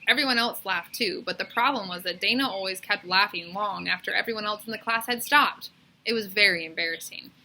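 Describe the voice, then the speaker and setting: A woman reading a children's story aloud as a teacher, in expressive narration. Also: mid-range voice.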